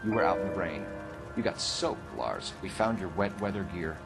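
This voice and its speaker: An American actor playing a stern, driven homicide detective in a video game, questioning in a clipped, formal, probing way. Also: male voice, deep voice, expressive, normal speed, creaky, over loud music. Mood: accusing, hard. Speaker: police detective. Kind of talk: video game dramatic acting, interrogation.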